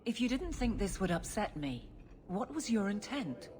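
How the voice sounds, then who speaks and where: A woman's voice: mid-range voice, sing-song, normal speed, a little mellow, lightly acted. A woman playing a stern, practical warrior in a video game, guarded, blunt and earnest. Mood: hurt, guarded. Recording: faint background ambience noise.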